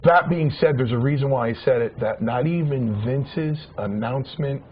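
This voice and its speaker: A man's voice: mid-range voice, normal speed. A man in his forties reminiscing in a casual talk show chat, rambling with many restarts and repeated words. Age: 40s. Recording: dull lo-fi sound with faint hiss.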